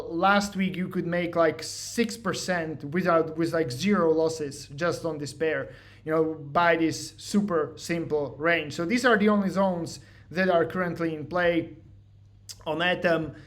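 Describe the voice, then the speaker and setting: A man's voice: a little high, steady tone, unhurried. A man with a Slovak accent walking through trading charts in a casual, joking way, mocking himself and filling his talk with "like" and "you know". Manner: joking, self-mocking, filler-heavy. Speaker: trading educator. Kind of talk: casual screen-share walkthrough.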